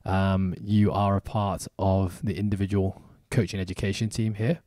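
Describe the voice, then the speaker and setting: A man's voice: sits low, flat delivery, normal speed, dark tone, no room sound, clean studio sound. A man hosting a podcast in casual interview style, chatty and upbeat with joking asides. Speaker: podcast host. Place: podcast studio in Hong Kong.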